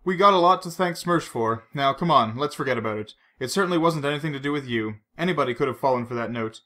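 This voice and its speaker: A man's voice: fairly high pitch, animated, fast, pushed and bright. A man reading aloud and voicing an injured male secret agent who talks in a clipped, reassuring, dryly wry way.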